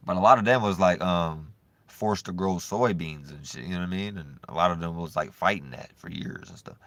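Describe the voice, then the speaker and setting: A man vlogging in a loose, profane, rambling monologue, swinging between animated bursts and low mumbling. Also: brisk, no room sound. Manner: loose, profane and repetitive, full of "you know what I'm saying".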